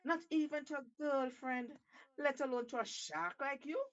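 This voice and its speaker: A woman's voice: high-pitched, normal speed, muffled recording, pitched above the performer's own voice, lightly acted. A woman playing a crafty, cheeky male monkey in a told story, with an emphatic, theatrical and taunting delivery. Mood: mocking, defiant.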